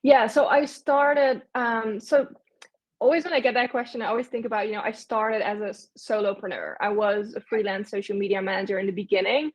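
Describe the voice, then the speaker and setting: A woman's voice: medium pitch, a little fast, low-quality recording. A young woman from the Netherlands, chatting casually as an interview guest, with lots of fillers and restarts. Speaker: guest, agency owner.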